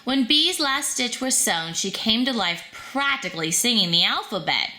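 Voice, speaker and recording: Woman storybook reader for young children, higher-pitched and very lively, expressive delivery. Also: normal speed. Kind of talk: children's story read aloud.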